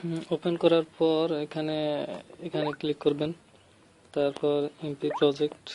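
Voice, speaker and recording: Bangladeshi male tutorial host, very monotone, stop-and-start step-by-step explaining, faintly noisy homemade recording. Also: on the high side, lo-fi sound. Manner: halting, repetitive step-by-step explaining. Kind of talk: informal screen-recorded app tutorial.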